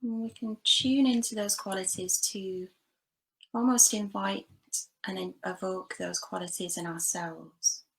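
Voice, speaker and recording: A woman leading a guided body scan meditation, giving gentle, unhurried instructions with long pauses between phrases. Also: mid-range voice, fairly even intonation, vocal fry, low-quality recording. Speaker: meditation teacher.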